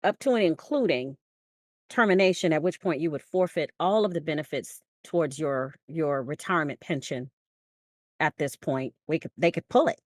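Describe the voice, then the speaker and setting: American female podcast co-host, notably low voice, close dry sound, emphatic conversational storyteller. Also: moderate pace, no echo. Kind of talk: conversational discussion.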